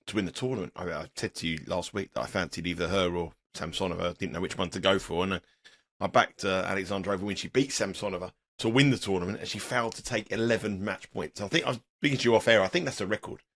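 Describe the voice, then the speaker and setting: A middle-aged man with a sore throat, sounding croaky as he talks tennis bets in a rambling, restarting way. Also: deep voice, fast, fairly bright tone. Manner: rambling, repeats words and restarts. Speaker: tennis betting analyst. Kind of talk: casual podcast chat.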